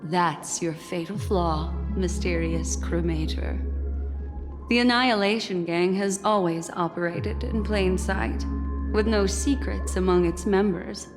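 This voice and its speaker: An actress as a stern, accusing agent, reverberant. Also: female voice, lowish voice, steady tone, unhurried, close to an everyday voice. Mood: accusing, certain. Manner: assertive, accusing, formal. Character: stern, perceptive female agent of a secret order. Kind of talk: video game character dialogue. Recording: long reverb, music behind.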